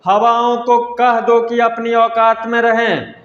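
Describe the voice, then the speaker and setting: A man's voice: extremely high pitch, level tone, takes it slowly, a bit bright. A man gives an informal exam update to students, repeating himself emphatically and addressing them as friends. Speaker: exam news presenter. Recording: echoey hall-like reverb, dull lo-fi sound.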